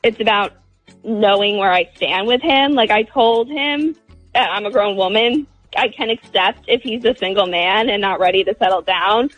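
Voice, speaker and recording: A woman's voice: mid-range voice, moderate pace, no room sound, lo-fi sound, soft background music. A woman calling a radio show, talking casually and candidly in a hesitant, wordy way. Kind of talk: casual, candid relationship talk.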